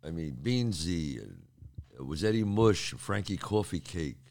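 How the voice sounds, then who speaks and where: A man's voice: sits low, sing-song, measured pace, gravelly, a little mellow. An older man hosting his show, talking casually and streetwise with a cold in his throat. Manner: streetwise, chatty, repeats himself. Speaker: podcast host. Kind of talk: casual monologue to listeners.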